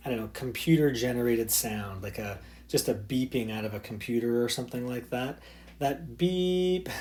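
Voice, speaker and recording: A man teaching a physics lesson in a recorded slide talk. He keeps it loose and chatty, full of ums and small restarts. His voice sits at an ordinary middle pitch. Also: warm tone. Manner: casual, loose explaining with many fillers. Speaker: high school physics teacher.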